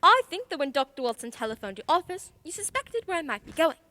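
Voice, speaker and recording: Woman acting female sleuth, radio drama, very bright, lively intonation, precise, assertive. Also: high voice, fast, heavily acted. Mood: accusing, assured. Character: confident female detective, sharp and methodical, accused of murder.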